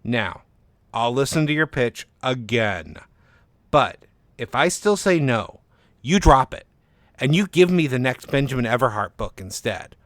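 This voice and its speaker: A man voicing a frustrated literary agent in an audio story, measured and persuasive, with firm, worn patience. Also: mid-range voice, big pitch swings, a bit bright, no room sound. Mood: frustrated, stern.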